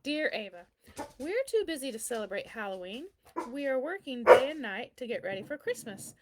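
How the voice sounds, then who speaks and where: A woman's voice: fairly high pitch, big pitch swings, normal speed, very noisy. A woman voicing a kindly, very old man who answers a child's letters in a storybook, reassuring and explanatory. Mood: kindly, explaining. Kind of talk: children's storybook read-aloud.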